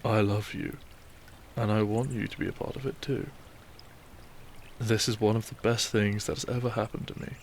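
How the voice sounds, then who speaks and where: An actor playing a devoted gentleman doctor and bridegroom in a romantic audio drama, tender, earnest and polite. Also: lowish voice, fairly even intonation, moderate pace, creaky, pauses often, a little hiss or hum.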